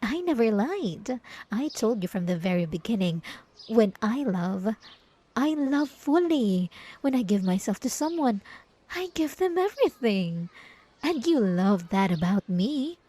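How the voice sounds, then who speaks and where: A woman playing a possessive, obsessive ex-girlfriend in an ASMR roleplay, with drawn-out, cooing condescension and sweet menace. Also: a little high, big pitch swings, dark tone. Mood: wounded, insistent. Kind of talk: ASMR roleplay monologue to the listener.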